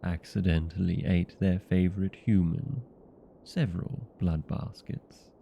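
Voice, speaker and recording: Man playing a deep, creaky, wry vampire. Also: steady tone, dull audio, a little hiss or hum. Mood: wry, gossipy. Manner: wry, conversational, mildly complaining, dramatic drawn-out words. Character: chatty, petty, 127-year-old male vampire being interviewed. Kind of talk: comedic scripted audio roleplay monologue.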